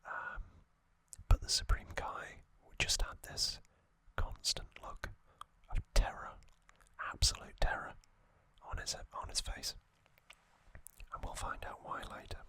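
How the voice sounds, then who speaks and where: Slow whispering man, close to the mic. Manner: soft unvoiced whisper with frequent ums and asides. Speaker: ASMR channel host. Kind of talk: whispered ASMR trivia recap.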